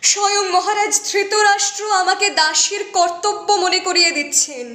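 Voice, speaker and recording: A woman reciting a dramatic poem as a wronged, proud young queen of ancient epic, anguished and accusing, in formal, theatrical diction with weighted pauses. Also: very high voice, sharp tone, heavily acted. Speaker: poetry reciter. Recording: echoey, reverberant voice recording.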